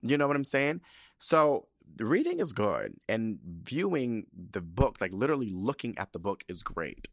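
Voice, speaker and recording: A man in his thirties talks through his podcast in a loose, rambling style, swearing freely. His low voice swings widely up and down. The sound is dry and close, with no room around it. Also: measured pace, no room sound. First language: not Spanish. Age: 30s. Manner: animated and profane, with loose, rambling asides. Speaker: podcast host. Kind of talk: casual solo podcast talk.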